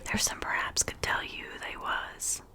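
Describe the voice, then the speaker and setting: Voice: normal speed, close to an everyday voice. A whispering reader doing an old shepherd. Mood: grave. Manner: whispered storytelling voice. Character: old rustic male shepherd, grave and superstitious.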